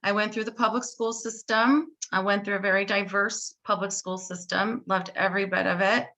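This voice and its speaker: A woman in her forties speaks over a video call with a bright, clear tone. She introduces herself as a judge running for office, earnest and fluent, dropping in frequent ums. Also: mid-range voice, fairly even intonation, moderate pace. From born in Puerto Rico, raised in Chicago area. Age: 40s. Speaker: judge, candidate.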